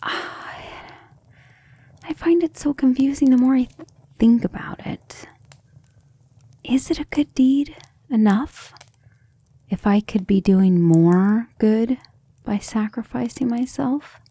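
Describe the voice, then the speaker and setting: Woman playing a soft, breathy, gentle female vampire. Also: fairly high pitch, slow pace, pauses often, heavily acted. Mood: troubled, doubting. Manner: soft, hesitant, tender, often breathy near-whisper. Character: gentle, earnest, self-doubting female vampire, devoted lover. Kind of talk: ASMR roleplay, intimate scripted monologue.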